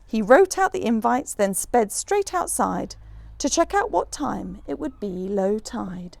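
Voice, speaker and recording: A woman reading a rhyming children's picture book aloud in an expressive, rhythmic storyteller's manner. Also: a little high, measured pace, vocal fry. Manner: animated, rhythmic rhyming delivery.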